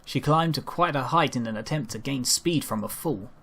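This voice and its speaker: A man's voice: medium pitch, moderate pace, fairly bright tone, slightly rough. A man reading a first-person story aloud as an amateur narrator, sometimes stumbling over his lines. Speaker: amateur reader narrating a fan fiction story.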